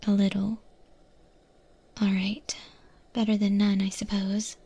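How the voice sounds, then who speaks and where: Husky, whispering monster chef played by a woman. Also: medium pitch, completely flat delivery, slow pace, mellow. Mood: businesslike, reassuring. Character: female eldritch void monster chef, wry, easygoing kitchen mentor. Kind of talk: ASMR roleplay, softly spoken to the listener.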